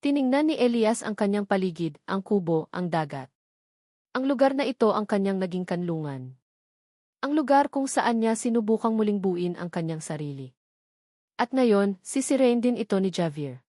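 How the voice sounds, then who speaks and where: A woman's voice: mid-range voice, animated, moderate pace, dark tone, dry recording, clean studio sound. A woman narrating a dramatic love story as a scripted audiobook.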